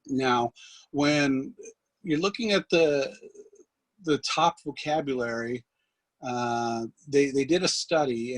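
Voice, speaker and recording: A man giving an instructional software demonstration as a trainer, explaining in a halting way. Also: medium pitch, husky, no echo, low-quality recording. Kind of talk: instructional screen demonstration, loosely scripted.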